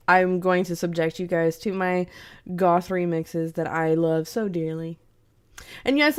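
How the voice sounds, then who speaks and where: Slow-talking sniffly young woman streamer. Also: mid-range voice, smooth. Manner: slow, chatty, greets chat by name, laughs often. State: congested, sniffly from a cold. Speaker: streamer host. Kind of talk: casual livestream chat.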